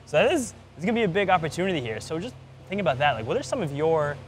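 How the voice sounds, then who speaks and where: A man's voice: a little high, sing-song, very fast. A man co-hosting a casual sports podcast, talking in an informal, rambling way. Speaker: podcast co-host. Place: outdoors in Florida. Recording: outdoor urban background noise.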